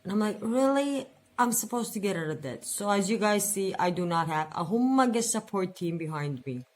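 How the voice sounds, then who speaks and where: A woman's voice: medium pitch, lively intonation, moderate pace. A woman in her forties venting and rambling in a casual personal budget vlog, repeating words for emphasis. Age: 40s. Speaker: budget vlogger.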